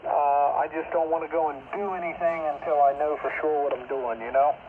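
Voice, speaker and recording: Man, ham radio operator, fairly high pitch, casual technical chat, phone-like radio signal, light static. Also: steady tone, brisk. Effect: two-way shortwave radio. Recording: tinny narrow radio, faint static.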